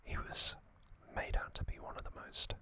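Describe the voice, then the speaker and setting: An ASMR host whispering a relaxed trivia recap, soft and unhurried, with hesitant fillers and small self-corrections. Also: no room sound. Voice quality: fully whispered, breathy.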